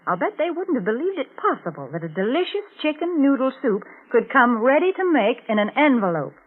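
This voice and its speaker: A woman announcer giving a chatty, folksy sponsor pitch in 1940s radio style, on a tinny old recording. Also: very animated, brisk, husky. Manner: chatty, folksy, emphatic salesmanship. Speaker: commercial announcer and co-host.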